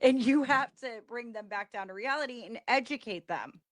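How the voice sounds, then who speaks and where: A woman's voice: on the high side, animated, moderate pace. A woman chatting casually on a panel about sales, plain-spoken and assured.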